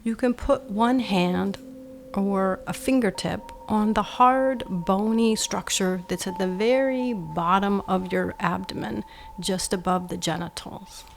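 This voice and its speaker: A woman guiding a meditation with measured instructions, in short phrases that often end hushed. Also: mid-range voice, fairly even intonation, creaky, slight background noise. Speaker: meditation guide. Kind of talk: slow guided meditation, instructional.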